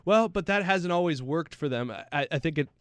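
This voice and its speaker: A man's voice: fairly high pitch, animated, brisk, smooth, dry recording. Chatty man talking soccer, dry close sound. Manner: chatty, anecdotal, slangy. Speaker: soccer pundit on a talk show. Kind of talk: casual sports discussion.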